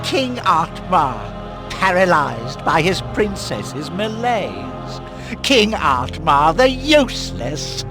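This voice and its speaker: An actor playing a cryptic, eccentric oracle in a game, mocking and theatrical, speaking in sing-song riddles. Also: male voice, fairly high pitch, very animated, slow pace, heavily acted. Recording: music under the voice.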